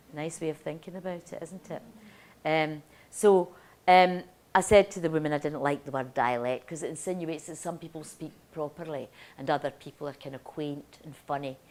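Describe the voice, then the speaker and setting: A woman's voice: sits low, a little mellow. Scottish woman reading poetry aloud. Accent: Motherwell Scottish, Glasgow-tinged. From Scotland. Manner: rhythmic, deliberate reading of verse, then chatty asides with ums. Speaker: poet giving a lecture reading. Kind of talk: poetry reading and lecture talk.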